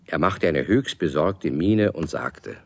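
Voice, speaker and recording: A man's voice: rumbling low, expressive, slow pace, gravelly, full character voice. A man narrating a children's radio play as a scripted storyteller. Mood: storytelling.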